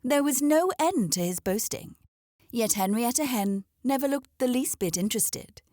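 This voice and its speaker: A woman's voice: fairly high pitch, lively intonation, normal speed, dark tone, no echo, clean studio sound. A woman narrating a children's storybook aloud as a scripted read-aloud.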